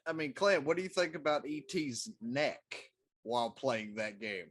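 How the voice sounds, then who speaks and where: Medium-pitched man in casual chat. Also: fairly even intonation, unhurried, fairly bright tone. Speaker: talk show co-host.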